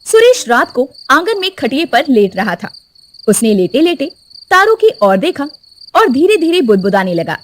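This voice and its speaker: Woman narrating a cartoon story, high and lively. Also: moderate pace. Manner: expressive storytelling.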